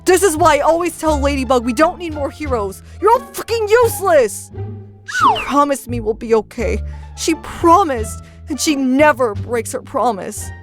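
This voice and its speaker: A woman playing a desperate, grief-stricken young superhero in an animated comic dub. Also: sits high, normal speed, music in the background. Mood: anguished, furious.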